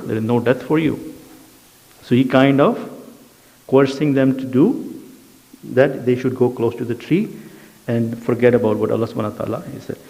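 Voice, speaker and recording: A man's voice: medium pitch, darkish tone. Urdu-accented man teaching in a hall. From South Asia. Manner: recites Arabic then translates line by line, checks in with "okay? yes?". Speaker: Quran and Arabic teacher. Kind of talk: classroom lesson explaining verses. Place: mosque education centre classroom. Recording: dull sound, long hall reverb.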